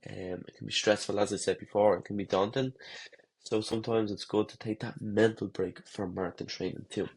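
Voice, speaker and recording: A man with a head cold, congested and slightly husky, giving runners a relaxed, rambling talk-to-camera lesson with fillers and restarts. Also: on the low side, brisk. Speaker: running coach.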